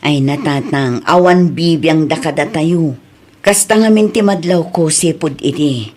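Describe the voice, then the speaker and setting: A woman's voice: sits low, measured pace, darkish tone, slight background noise. A woman with an Ilocano accent playing a caring, sensible grown daughter in a family drama. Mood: earnest, caring. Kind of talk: Ilocano comedy drama dialogue.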